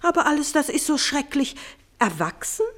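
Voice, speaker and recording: A woman playing a gushing, insistent children's storyteller in an old theatrical radio play style, coaxing with drawn-out emphasis. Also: sits high, big pitch swings, fairly bright tone.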